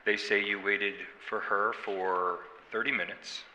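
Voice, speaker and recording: An actor playing a sardonic small-town homicide detective in an audio drama, needling a suspect in a folksy, deliberate, quietly menacing way. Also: male voice, fairly low pitch, steady tone, long reverb tail, slight background noise, rough recording. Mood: accusing, firm. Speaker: police detective. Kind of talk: audio drama interrogation acting.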